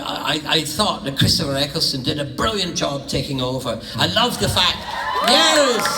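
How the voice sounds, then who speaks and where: An older man from the Scottish Highlands with a Scottish accent, telling playful, theatrical stories in answer to audience questions at a theatre show. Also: noisy background. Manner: playful, theatrical, hopping between high and low tones, laughing often. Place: large theatre hall. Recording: stage mic in a reverberant hall, heard from a distance.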